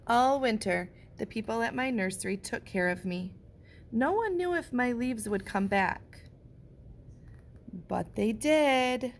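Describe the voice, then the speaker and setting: A woman reads a children's picture book in a slow, unhurried way, stopping to talk about the pictures. Her voice sits a little high and stays gentle throughout. A faint hiss of noise sits under her voice. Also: expressive. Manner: gentle, slow storybook delivery with pauses. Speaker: teacher reading aloud. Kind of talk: picture book read-aloud with comments on the pictures.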